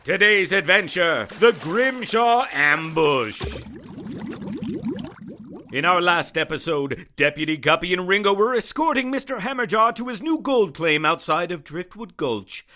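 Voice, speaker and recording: A man's voice: sits high, lively intonation, a little slow. A man announcing and narrating dramatically, in the grandiose manner of an old-time adventure serial. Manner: grandiose, theatrical. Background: music.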